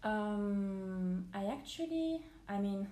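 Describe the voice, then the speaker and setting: A woman's voice: mid-range voice, animated, slow, warm tone, reverberant. A woman in her twenties from France, a native French speaker, chatting as a podcast guest in an easygoing, dryly teasing way. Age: 20s. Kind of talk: casual conversation.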